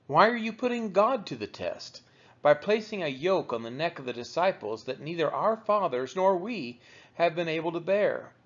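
A man reading the Bible aloud as measured narration, with deliberate, emphatic phrasing. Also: fairly high pitch. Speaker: Bible reader. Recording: dull, lo-fi recording.

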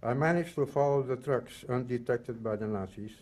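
A man in his eighties from the Netherlands and Belgium, reading a prepared personal testimony in measured, solemn short phrases. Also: mid-range voice, a little mellow. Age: 80s. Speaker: Holocaust survivor giving testimony.

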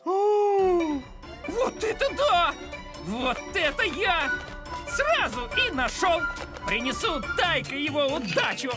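A woman voicing a chatty, boastful, excitable little male bird in a cartoon, exclaiming as he chatters. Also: extremely high pitch, takes it slowly, exaggerated voice. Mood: excited, boastful. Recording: music behind the voice.

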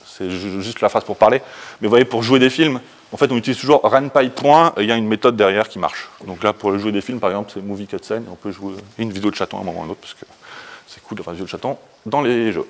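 Fast-talking Frenchman giving a tech talk. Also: lowish voice. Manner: casual, colloquial, hurried. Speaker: conference speaker. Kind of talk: informal technical talk with slides. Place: indoor presentation room, standing by a projection screen.

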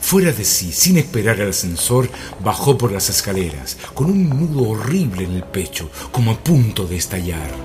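A somber storyteller for an eerie radio play. He has a lowish voice and pauses dramatically between measured phrases, with clear music behind him. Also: sing-song. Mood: grave. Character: grave narrator of an eerie tale. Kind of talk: scripted radio drama narration. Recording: prominent background music under voice.

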